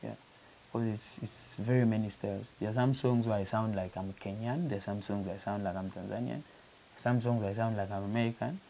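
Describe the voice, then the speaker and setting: Earnest Ugandan musician, gravelly voice. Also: male voice, lowish voice, steady tone, a little fast, mellow, poor audio. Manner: earnest, repeats and restarts phrases, says "you know" often. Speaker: musician, interview guest. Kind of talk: casual interview talk. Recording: faint background hiss.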